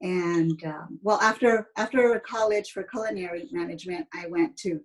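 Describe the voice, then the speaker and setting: A woman's voice: on the low side, normal speed, low-quality recording. A woman in her fifties from San Jose, a chatty, explanatory interview guest telling personal stories in a casual way. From San Jose, United States. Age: 50s. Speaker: interview guest, educator and former pastry chef.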